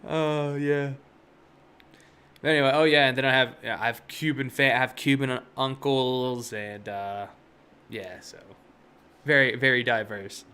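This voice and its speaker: A young man hosts a day trading live stream, talking casually into a desk mic. He rambles and drifts into asides, leaning on fillers as he goes. His voice sits in an ordinary middle pitch. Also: sharp tone, smooth. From Miami, USA. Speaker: day trading livestream host.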